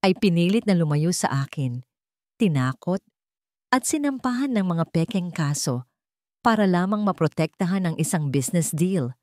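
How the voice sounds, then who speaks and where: A woman's voice: fairly low pitch, no room sound, clean studio sound, lightly acted. Woman reading as a determined, weighty Filipino businessman. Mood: emotional, defiant. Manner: calm, weighty, deliberate. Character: determined male chief executive making a public declaration. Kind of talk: audio storybook drama.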